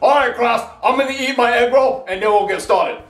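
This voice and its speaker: A man's voice: very high voice, fairly quick, reverberant, distant mic, a little hiss or hum. A man playing a silly, greedy schoolteacher in a comedy skit, speaking broken English with L and R sounds swapped. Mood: brisk. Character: silly, greedy male schoolteacher obsessed with egg rolls.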